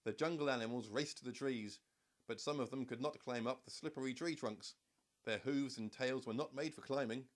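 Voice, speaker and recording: Casting: male children's audiobook narrator, mid-pitched voice, measured and expressive storytelling delivery.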